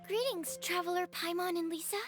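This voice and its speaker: Girlish earnest young scholar, really high voice, polite, game cutscene w music. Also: brisk. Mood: polite, relieved. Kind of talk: video game cutscene voice acting.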